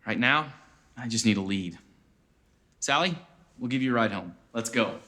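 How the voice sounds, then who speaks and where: A man playing a terse, hardboiled private detective in a noir parody, with clipped deadpan delivery. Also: medium pitch, moderate pace.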